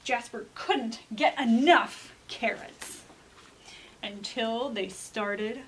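An American woman with a fairly high voice speaks like a children's librarian at story time. She is expressive and enunciates with dramatic pauses. Background noise is audible in an indoor library room. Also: low-quality recording. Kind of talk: presenting and dramatic picture-book reading.

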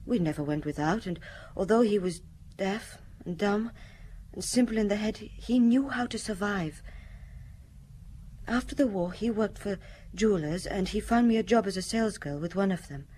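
Radio drama actress: young refugee widow, mid-pitched, reflective, sad, halting, slight ambient noise behind her. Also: dark tone, natural voice. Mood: sad, remembering. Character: sad, quiet young wartime refugee woman, now widowed.

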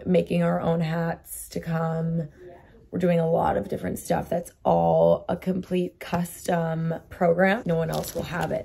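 A woman in her twenties with a hoarse voice that she has lost, chatting casually in her bedroom and filming herself on a phone held at arm's length, saying "like" constantly. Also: lowish voice, mellow. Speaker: vlogger. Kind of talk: casual vlog chat. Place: bedroom, indoors. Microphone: phone camera at arm's length.

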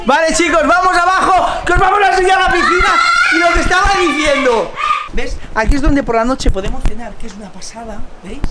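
A man in his thirties with a Spain Spanish accent, chatting casually and excitably as a playful family vlogger. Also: extremely high pitch, a little fast. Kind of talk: casual vlog chat. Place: seaside house terrace and pool, outdoors. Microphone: handheld camera mic. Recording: noisy outdoor action-camera audio.

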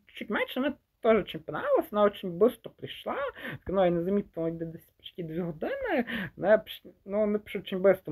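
A man's voice: sits high, creaky. Hesitant young man, casual review. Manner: hesitant, halting, trails off and rephrases mid-thought; mixes Ukrainian and Russian words. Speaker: film reviewer. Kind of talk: casual unscripted movie review.

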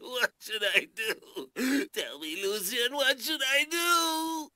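Slow, wailing, childish lord. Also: male voice, very high voice, pushed and bright, no echo, clean studio sound, full character voice. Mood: panicked wailing. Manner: wailing, blubbering, pleading. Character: whiny, panicking, childish portly lord. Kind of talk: scripted video game voice acting.

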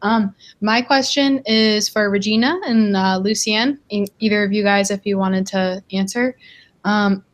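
A young adult woman asks a question over a video call from an office with a window. She sounds polite and hesitant, often pausing on fillers as she finds her words, in a voice of middle pitch. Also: steady tone. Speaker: audience member asking a question.

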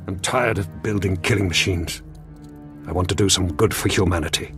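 An actor playing a weary, idealistic, defiant scientist in a video game, with a dramatic, sardonic, impassioned delivery. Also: male voice, low voice, moderate pace, over music, close to an everyday voice. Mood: bitter, impassioned. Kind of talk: video game character dialogue.

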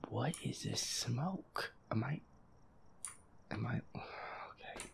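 A man's voice: mid-range voice, animated, extremely slow, gravelly, slight background noise, heavily acted. A man playing a feisty, foul-mouthed werewolf boyfriend in an audio roleplay, grumbling and muttering to himself as he reluctantly plays a horror game. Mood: annoyed, uneasy. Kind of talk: casual gameplay commentary, audio roleplay.

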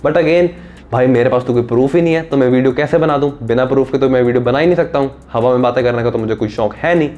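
Young man, quick pace, casual tech vlog delivery, informal direct address, lapel mic. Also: mid-range voice, a little mellow, lo-fi sound. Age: young adult. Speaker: tech video host. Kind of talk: casual talk to camera. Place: small indoor room. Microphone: clip-on lapel mic.

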